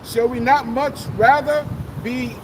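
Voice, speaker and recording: Very high, slow man reading scripture. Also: steady tone. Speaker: scripture reader. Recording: outdoor wind noise.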